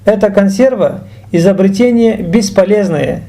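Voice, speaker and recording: A man teaching a language, explaining and translating phrases in a deliberate, instructional way. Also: high voice, mellow.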